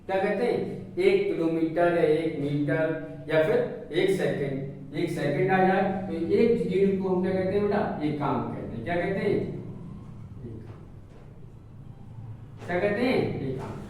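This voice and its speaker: A man's voice: high-pitched, unhurried, pauses often, a little mellow. A man lecturing as a physics teacher, didactic, repeating phrases and asking check questions. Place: indoor classroom with a board. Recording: very echoey room, distant mic, faint noise.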